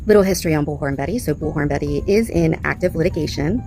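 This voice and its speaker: A woman's voice: deep voice, fast, dark tone, faint music behind. A woman hosting a reaction channel, speaking casually and with strong opinions, leaning on repetition and rhetorical questions. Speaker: commentary channel host reacting to clips.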